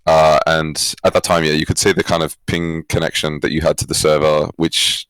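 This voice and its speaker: Young man, Australian accent, very deep pitch, dry sound, casual, reflective, hesitant interview guest. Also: little pitch movement, moderate pace, a bit bright, clean tone, no echo, poor audio. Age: young adult. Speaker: esports coach, former pro player, guest.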